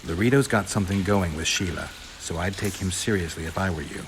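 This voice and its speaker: An actor playing a stoic, world-weary monster hunter in a video game, terse and dryly understated. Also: male voice, deep voice, a little fast, background noise, natural voice. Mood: dry, matter-of-fact. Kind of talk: video game cutscene acting. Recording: rain and ambience behind the voice.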